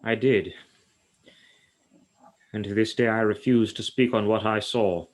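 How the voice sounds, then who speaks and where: A man's voice: lowish voice, steady tone, unhurried, natural voice. A man acting in a radio play as a solemn, haunted psychiatrist who speaks formally and ominously. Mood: guarded, formal. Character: grave, haunted male psychiatrist who fears the supernatural.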